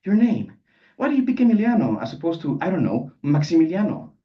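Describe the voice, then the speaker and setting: A man's voice: on the high side, very animated, fast talker, pitched above the performer's own voice. A man voicing a mocking, pedantic young male protagonist in a live story reading, pompous and needling. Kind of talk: character dialogue in a live literary reading. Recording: dull sound, distant microphone.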